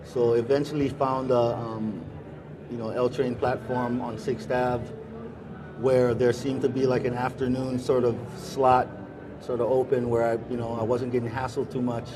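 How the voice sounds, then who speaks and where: A middle-aged man from Hawaii, a musician, answering interview questions in a relaxed, rambling way with many pauses and restarts. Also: mid-range voice. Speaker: musician guest. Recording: busy crowd noise behind.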